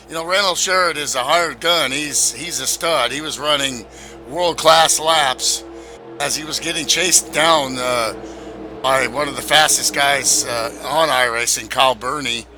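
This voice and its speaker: A man co-commentating a sim race he also drives in, relaxed and hesitant with fillers. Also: a little high. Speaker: co-commentator and racer. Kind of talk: sim racing broadcast commentary.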